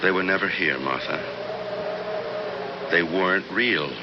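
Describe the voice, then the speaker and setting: A man's voice: low voice, unhurried. An American man acting an uneasy, weary, evasive unfaithful husband in a 1970s radio drama. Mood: shaken. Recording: muffled, noisy 1970s radio drama recording.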